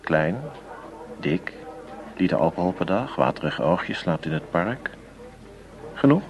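An actor in a radio drama playing a guarded counterfeit dealer, talking in terse, needling phrases. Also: male voice, low-pitched, very animated, measured pace, full character voice. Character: guarded male counterfeit dealer working for a gang. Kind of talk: Dutch radio drama dialogue.

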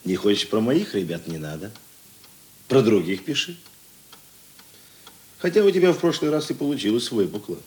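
An actor playing a seasoned police investigator in a radio drama, gruff but talkative, with a husky voice and halting, conversational delivery. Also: male voice, lowish voice, animated, darkish tone. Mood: slightly hurt. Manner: musing, halting, conversational asides. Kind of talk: Russian radio drama dialogue.

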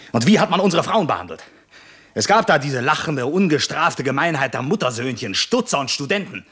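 An actor in a 1950s German radio drama playing a hunted, bitter, proud revolutionary commander with an intense, rhetorical delivery. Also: male voice, medium pitch, husky. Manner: intense, rhetorical, abrupt shifts between hushed and emphatic.

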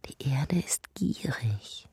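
An actress playing a brooding, introspective narrator of a dreamlike literary radio drama, with a soft, breathy, husky delivery. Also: female voice, a bass voice, expressive, no echo, studio quality, heavily acted. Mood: hushed, sleepy. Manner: measured, introspective delivery, sometimes sinking into whispers.

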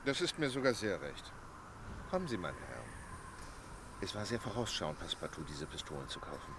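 Composed, resolute gentleman with lively pitch. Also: a little fast, heavy background noise. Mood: calm, assured. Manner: formal, polite, determined. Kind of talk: audio drama acting.

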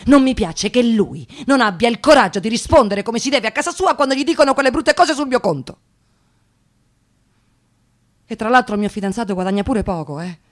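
An actress playing a nervous, tired young unemployed woman in a radio monologue, halting and agitated, repeating her words. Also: fairly high pitch, lively intonation, lightly acted. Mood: aggrieved. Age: young adult. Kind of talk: radio theatre dramatic monologue.

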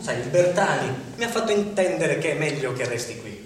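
A man's voice: on the high side, expressive, fairly quick, a little hiss or hum, lightly acted. An actor playing a nervous male office manager afraid of losing his job, in a stage play recorded from far off in an echoey theatre. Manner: nervous, defensive, jittery laughs. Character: anxious male office manager fearing layoffs. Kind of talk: theatre acting recorded for radio.

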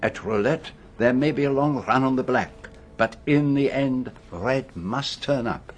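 An actor playing a courteous, commanding middle-aged Belgian detective in a radio drama, with a French-speaking accent and deliberate, theatrical delivery. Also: male voice, mid-range voice, natural voice. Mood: assured, philosophical.

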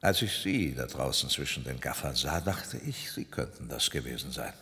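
A man playing a gruff, suspicious police commissioner in a crime radio play, probing and insistent, with a gravelly voice. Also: expressive, normal speed, bright, forceful tone, heavily acted. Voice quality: gravelly, low. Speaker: supporting actor. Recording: long hall-like reverb.

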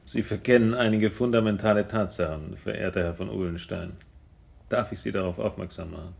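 Radio drama villain: smooth, menacing businessman, gravelly bass register, elaborately polite and pompous. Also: fairly quick. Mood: coolly threatening. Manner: pompous, formal, courteously threatening. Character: smooth, menacing middle-aged male blackmailer in business dealings. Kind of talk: German radio crime drama acting.

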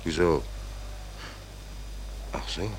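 An actor playing a stubborn, talkative, naive sailor in a 1940s radio drama, protesting in an artless, colloquial way. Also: male voice, rumbling low, steady tone, drawn-out words, full character voice. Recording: noisy old 1940s radio recording.

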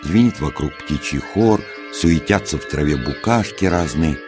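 A man narrating a scripted children's nature tale as a storyteller, unhurried and expressive, putting questions to the listener. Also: a bass voice. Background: music.

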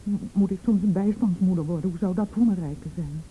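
A woman's voice: on the low side, quick pace, narrow, tinny audio, slight background noise, natural voice. An actress playing a defensive, frightened mother in a Dutch radio play, hesitant and evasive, with an indignant edge. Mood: bitter, afraid. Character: defensive, evasive, frightened mother shielding her abusive husband.

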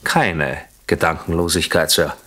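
An actor playing a suspicious, jealous husband in a German radio crime play, mock-polite and needling, on a noisy old recording. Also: male voice, sits low, steady tone, unhurried, pushed and bright, husky, heavily acted.

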